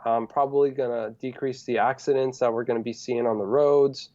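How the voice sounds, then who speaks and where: A man's voice: mid-range voice, a little fast. Laid-back man on a dull-sounding stream. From United States. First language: Greek. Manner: relaxed, rambling, laughs easily. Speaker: guest. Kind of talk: casual live-stream chat.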